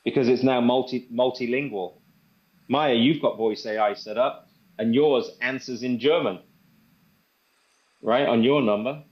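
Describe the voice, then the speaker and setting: Man pitching software, dull lo-fi audio. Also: unhurried, long pauses. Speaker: presenter demonstrating software. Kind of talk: casual sales presentation.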